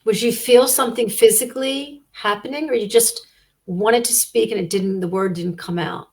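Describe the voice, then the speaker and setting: A woman's voice: a little high, moderate pace, darkish tone. A woman hosting a conversational interview, affirming her guest and repeating phrases for emphasis.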